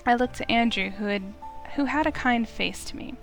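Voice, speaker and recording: A woman's voice: fairly high pitch, normal speed. A woman reading a visual novel aloud as dramatic first-person narration, with music behind her. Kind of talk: dramatic narration of a game's text.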